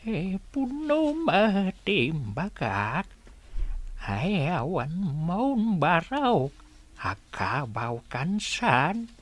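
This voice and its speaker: Very slow, querulous old father. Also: high-pitched, sing-song, full character voice. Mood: muddled, complaining. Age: older. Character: elderly father feigning senility, stubborn and muddled. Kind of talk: radio drama acting.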